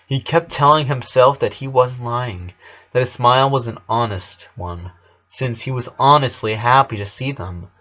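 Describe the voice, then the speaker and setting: A man's voice: mid-range voice, normal speed, pushed and bright, dull audio. A man reading a story aloud as narrator, in an amateur scripted style.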